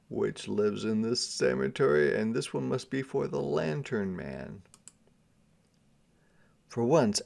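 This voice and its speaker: A man reads a children's storybook aloud in the style of a teacher. His voice has a noticeable creak and he takes his time. He delivers the story dramatically and explains it in plainer asides. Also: mid-range voice.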